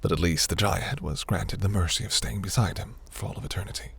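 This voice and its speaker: Guy doing a smug possessive demon prince, really low husky voice, silky and commanding, fantasy roleplay audio. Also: brisk, fairly bright tone, heavily acted. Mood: wistful, musing. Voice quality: low, gravelly, breathy.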